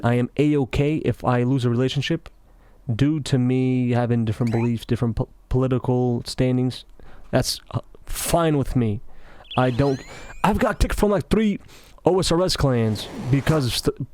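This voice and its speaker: A man's voice: medium pitch, darkish tone. A young man with a slight Russian accent, rambling through casual gaming commentary and often breaking off mid-thought. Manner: talkative, meandering, self-interrupting. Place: office.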